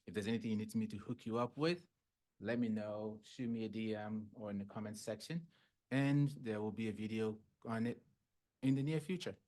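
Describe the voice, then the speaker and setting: A man's voice: on the low side, a little mellow. A man from Ghana whose first language is Fante, a clinical psychologist hosting a podcast and explaining things in a casual way, often checking in with "right?" and "yeah?".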